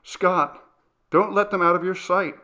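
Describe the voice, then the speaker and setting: Man voicing an anxious, high-pitched, urgent mother. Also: steady tone, a little slow, sharp tone. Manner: urgent, agitated calling out. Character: anxious, panicky mother in a ghost story.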